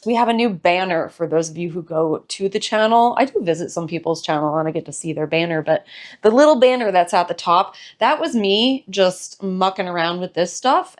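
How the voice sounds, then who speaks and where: Hoarse, bubbly woman giving a home tour. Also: lowish voice. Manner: bubbly, rambling, self-deprecating. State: hoarse, recovering from illness. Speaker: host of a home decor channel. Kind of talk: casual chatty home tour.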